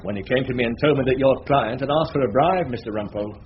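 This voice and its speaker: A man's voice: medium pitch, fast, natural voice. A man playing an evasive, affronted police officer giving formal, grudging evidence in a radio courtroom drama. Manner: guarded, formal, grudging answers. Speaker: police witness. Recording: muffled radio recording, faint noise.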